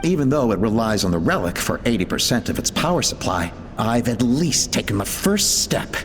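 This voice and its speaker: A man's voice: mid-range voice, very animated, normal speed, a little hiss or hum. An actor playing a driven, ambitious inventor who narrates his research log in a video game, earnest and theatrical. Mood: proud, methodical. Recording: long reverb, music behind.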